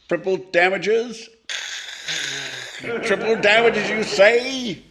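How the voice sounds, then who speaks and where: Pompous middle-aged scoundrel, raised pitch, florid drawl, 1940s radio comic style. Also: male voice, slow pace, a crowd in the background. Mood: alarmed. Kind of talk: 1940s radio comedy acting, comedian impression.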